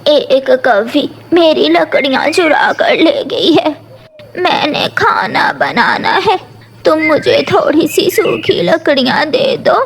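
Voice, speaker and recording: A woman's voice: high voice, very animated, slow pace, exaggerated voice. A woman voicing a weak, elderly, trusting female crow in a children's cartoon, plaintive and stammering over repeated words. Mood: tearful, wronged. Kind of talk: Hindi children's cartoon voice acting.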